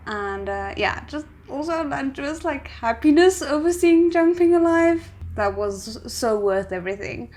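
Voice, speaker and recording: A woman's voice: sits high, expressive, a little slow, few pauses, darkish tone. A woman hosting a reaction video, commenting casually and thinking aloud in a hesitant way.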